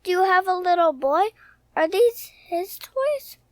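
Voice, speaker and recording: A woman's voice: very high-pitched, expressive, unhurried, no echo. A woman voicing a shy, polite, self-doubting little boy in a mid-century radio soap opera, with a hesitant childlike manner. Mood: polite, timid.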